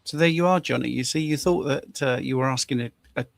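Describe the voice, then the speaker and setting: A man hosting a live stream, chatty and enthusiastic, with a croaky voice after a long narration session. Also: mid-range voice, fast talker, no echo. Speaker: host. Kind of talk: casual live-stream chat. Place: home, video call.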